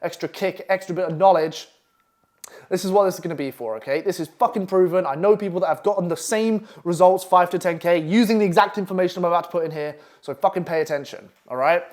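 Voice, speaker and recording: Brash, fast-talking Essex man. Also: high-pitched, a little mellow. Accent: Essex English. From England. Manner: brash, profane, pushy. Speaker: copywriting coach. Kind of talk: online masterclass presentation. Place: London flat.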